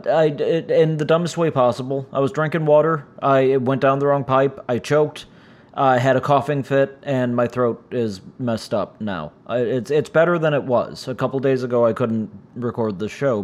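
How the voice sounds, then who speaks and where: A man with a raspy, strained voice from injured vocal cords, hosting his own podcast in a rambling, casual way full of fillers. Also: medium pitch. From United States. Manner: rambling, frequent "uh" fillers, self-deprecating. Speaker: podcast host. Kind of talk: casual solo podcast chat. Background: cats making noise.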